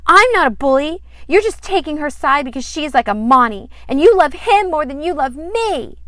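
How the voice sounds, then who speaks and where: A proud, pouty little schoolgirl acted out by a woman from a children's storybook. Her voice sits high and loud, and she states things emphatically, with petulant indignation. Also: very animated, moderate pace, no room sound. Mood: indignant.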